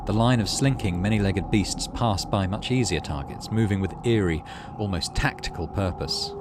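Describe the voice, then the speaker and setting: A man's voice: on the low side, moderate pace, noisy background. A man narrating a visual novel dramatically, with casual asides as a gaming channel host. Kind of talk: casual let's play commentary between readings. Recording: game music behind the voice.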